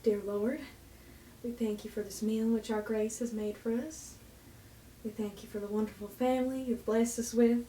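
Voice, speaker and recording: A high female voice, set back from the mic in an echoey room. An actress plays an earnest woman of a struggling 1940s family in a radio drama. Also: level tone, moderate pace, warm tone, close to an everyday voice. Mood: strained, grave. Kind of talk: earnest radio play acting. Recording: echoey room, distant mic, faint hiss.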